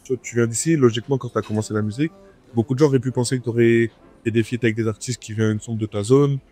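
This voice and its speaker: Man, host, fast talker, mellow tone, background music. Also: mid-range voice, steady tone. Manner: quick, casual, slangy questions. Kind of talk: casual interview chat. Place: restaurant.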